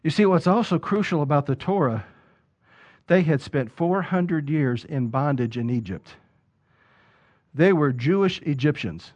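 A man preaching a sermon, building from steady talk to loud, emphatic peaks. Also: on the high side, unhurried. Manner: rises to loud emphatic preaching peaks, acts out quoted voices. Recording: dull lo-fi sound.

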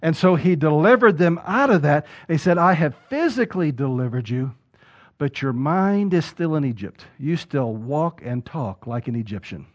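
A man preaching a sermon, building from steady talk to loud, emphatic peaks. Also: fairly high pitch, normal speed. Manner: rises to loud emphatic preaching peaks, acts out quoted voices. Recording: dull lo-fi sound.

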